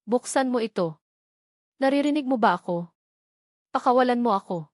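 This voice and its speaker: Woman acting captive young woman, desperate, pleading, heartbroken, raised pitch, audio storybook. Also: lively intonation, moderate pace, no echo, studio quality, natural voice. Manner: pleading, emotional.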